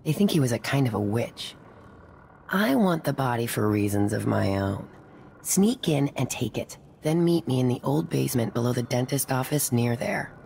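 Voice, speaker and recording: A shady female schemer from a video game. Her voice is extremely low and crackles with gravel. She is blunt, sly and sure of herself, with faint noise behind her. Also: expressive, moderate pace, warm tone, exaggerated voice. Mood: businesslike, conspiratorial. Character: brash crude female underworld schemer, shady and self-assured. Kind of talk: video game character dialogue.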